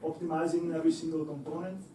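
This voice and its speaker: Young guy giving a talk, a bit high voice, lots of uhs, echoey hall. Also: steady tone, fast, dark tone, far from the mic, slight background noise. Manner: hesitant, many fillers and restarts, laughs easily. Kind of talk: presentation to an audience. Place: lecture hall.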